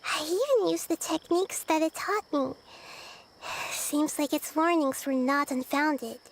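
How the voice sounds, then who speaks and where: An actress voicing a gentle, girlish young god who speaks softly and thoughtfully, over game music. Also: high voice, unhurried. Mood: tired. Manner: soft, thoughtful, sighs from tiredness. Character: gentle girlish god in small form, calm.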